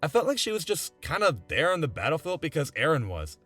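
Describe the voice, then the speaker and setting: Young male video essayist, highish voice with very lively intonation, earnest and conversational, music underneath. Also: brisk. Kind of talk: scripted video essay narration. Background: background music.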